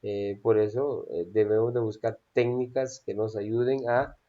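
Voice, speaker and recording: Mellow low-voiced man lecturing. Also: steady tone, a little slow, highs cut off. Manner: hesitant with frequent "eh" fillers and checking "¿sí?". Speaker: instructor. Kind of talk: lecture on public speaking.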